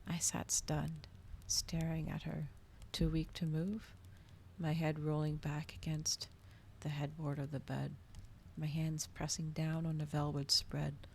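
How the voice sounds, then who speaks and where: Female ASMR reader, low and creaky, hushed delivery drifting into whispers, faint noise behind. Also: completely flat delivery, measured pace. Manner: hushed, often slipping into whispers. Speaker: ASMR streamer reading a novel aloud. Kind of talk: ASMR storybook reading.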